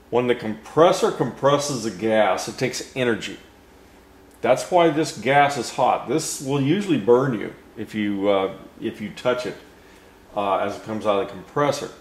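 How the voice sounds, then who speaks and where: Slow, halting older male instructor. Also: lowish voice. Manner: slow, halting, many fillers and restarts. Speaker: HVAC instructor. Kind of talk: unscripted technical lesson. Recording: roomy echo.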